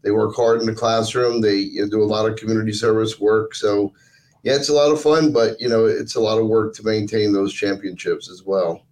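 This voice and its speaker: A man's voice: lowish voice, fairly even intonation, a little fast, darkish tone, low-quality recording. A man answering interview questions as a coach, in a casual, plain-spoken way. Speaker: team coach, podcast guest.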